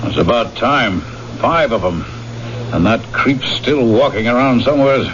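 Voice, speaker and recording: An actor playing a gruff, skeptical police lieutenant in a 1970s radio crime drama, talking brusquely. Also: male voice, medium pitch, measured pace, fairly bright tone, lightly acted. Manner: brusque, clipped, tough street talk. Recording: muffled, noisy old radio recording.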